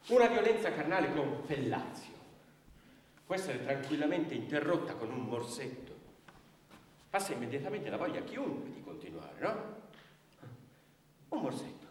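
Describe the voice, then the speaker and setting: An actor playing a pompous, scornful defence lawyer declaiming rhetorically to a court in a stage play. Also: male voice, mid-range voice, a little mellow, distant mic, slight background noise. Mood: smug, scornful. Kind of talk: theatrical courtroom oration. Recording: echoey theatre hall from afar.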